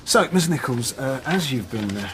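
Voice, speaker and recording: An actor playing an officious, impatient job centre adviser in a radio play, reciting rules in a bureaucratic manner. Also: male voice, mid-range voice, big pitch swings, moderate pace, close to an everyday voice, low-quality recording. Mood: officious, dismissive.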